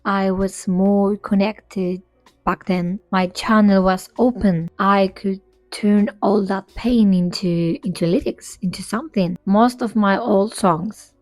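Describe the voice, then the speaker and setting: A young woman from Spain, whose first language is Spanish, talking in English in a soft-spoken, candid and reflective way to her camera. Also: mid-range voice, fairly even intonation, slow pace, dry recording, rough recording. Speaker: vlogger and independent singer. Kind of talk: improvised personal talk to camera.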